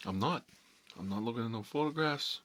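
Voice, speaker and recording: A man playing a cagey, cocky mob boss in an ASMR role-play, talking unhurried in needling tough-guy street talk. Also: mid-range voice, lively intonation, fairly bright tone. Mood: guarded, defiant.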